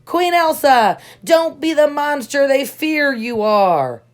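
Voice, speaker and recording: Woman voicing a loud, scheming storybook prince. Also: high-pitched, animated, slow pace, pitched above the performer's own voice. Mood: commanding accusation. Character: scheming young prince villain, cold and commanding. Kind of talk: dramatic storybook read-aloud.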